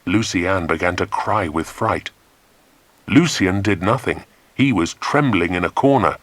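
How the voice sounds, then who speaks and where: A man's voice: deep voice, a little slow, a bit bright, no echo. A man narrating a children's adventure story in a dramatic, scripted style. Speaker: audiobook narrator.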